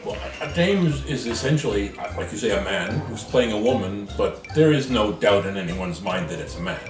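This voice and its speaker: Man, low voice, interview chat, jokey and halting, off the mic, clear music in the background. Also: expressive. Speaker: pantomime dame performer being interviewed. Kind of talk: conversational interview. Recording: roomy echo, distant mic, music behind.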